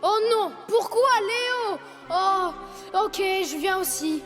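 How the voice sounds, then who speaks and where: A woman's voice: very high voice, lively intonation, slow, heavily acted. A woman voicing a young tiger cub, a grumbling but loyal sidekick in a French cartoon dub, with a childlike, whiny delivery. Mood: reluctant, grumbling. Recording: music behind the voice.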